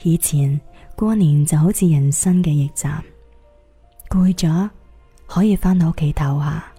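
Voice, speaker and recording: A woman's voice: low voice, warm tone, breathy edge, exaggerated voice. A woman with a Cantonese accent acting a weary young woman's wistful monologue in a radio drama. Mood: wistful, reflective. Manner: wistful, measured, reflective. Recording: music behind the voice.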